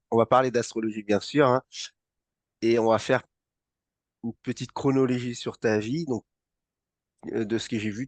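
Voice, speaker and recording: Male host, low voice, dry close sound, halting delivery with many fillers. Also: dry recording. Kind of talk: interview hosting.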